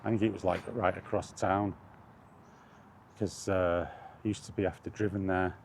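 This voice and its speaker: A man's voice: sits low, little pitch movement, moderate pace, vocal fry, a little hiss or hum. A man chatting casually in a meandering, hesitant way as he records a walking vlog outdoors, often correcting himself. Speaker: vlogger. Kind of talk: casual rambling walk-and-talk chat.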